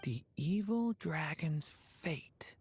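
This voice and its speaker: A woman voicing a gentle, worried young dragon boy in a video game, pensive and hesitant. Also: low-pitched, lively intonation, drawn-out words, slightly rough, heavily acted. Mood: pensive, uneasy. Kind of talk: video game character dialogue.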